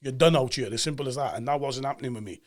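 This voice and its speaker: Cardiff guy, 40s, talks super fast, punchy and animated, welsh accent thick. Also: medium pitch. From Cardiff, Wales. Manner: animated storyteller, fast and emphatic, acts out quoted voices. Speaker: actor and podcast guest. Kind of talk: casual podcast chat.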